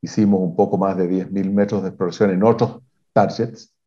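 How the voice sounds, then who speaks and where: Deep, warm-toned male executive, measured and technical, hesitant restarts, muffled lo-fi call audio. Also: fairly even intonation. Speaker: mining company exploration executive. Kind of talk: interview answers on a video call.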